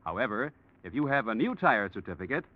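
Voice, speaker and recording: A man's voice: fairly low pitch, very animated, fast. A man reading scripted sponsor announcements as a radio announcer in 1940s broadcast style. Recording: dull vintage radio broadcast.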